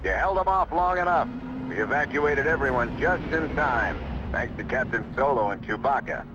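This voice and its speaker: An actor playing a steady, commanding rebel general briefing his pilots in a military style, in a video game. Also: medium pitch, normal speed, background noise, natural voice. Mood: reassuring. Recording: dull lo-fi game audio, faint music behind.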